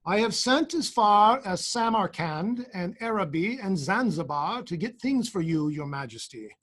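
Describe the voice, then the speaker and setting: An amateur reader playing a pompous, fussy male Lord High Chamberlain in a readers theater story. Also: animated, a bit bright. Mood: firm, indignant. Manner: pompous, deliberate, enumerating.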